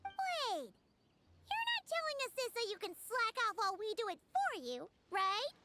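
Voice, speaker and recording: A woman voicing a tiny, excitable floating fairy companion in a video game, with a squeaky, bubbly voice and game music behind. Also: moderate pace, very noisy, heavily acted. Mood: chirpy. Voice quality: squeaky, bubbly, speaks of herself by name.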